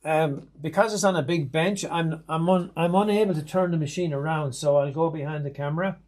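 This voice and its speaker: Middle-aged irish guy walking you through machine cleaning, fairly high voice, steady and methodical, lots of ums. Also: fairly quick. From Ireland. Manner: methodical, plain-spoken, with frequent um and uh. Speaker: sewing machine technician. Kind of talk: instructional demonstration.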